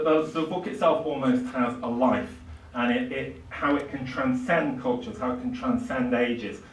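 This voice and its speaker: Older male lecturer, halting and thoughtful phrasing, mid-pitched, heard at a distance in a reverberant small room. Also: a bit bright. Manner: hesitant, halting delivery with frequent pauses. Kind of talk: public lecture, lightly improvised. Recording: echoey room, distant microphone.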